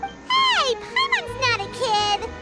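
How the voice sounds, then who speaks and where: A woman's voice: extremely high pitch, wildly swooping pitch, slow pace, full character voice. A woman voicing a small, childlike fairy companion in an anime game, chirpy, curious and easily indignant. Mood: excited, indignant. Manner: exclaims, speaks of herself in third person. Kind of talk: anime video game voice acting. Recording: game music behind the voice.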